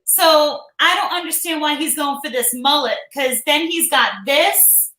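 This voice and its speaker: Woman, high voice, crisp bright tone, echoey room, teasing live-chat co-host. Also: measured pace, a little hiss or hum. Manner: teasing, chatty, laughs often. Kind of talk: casual live-stream chat.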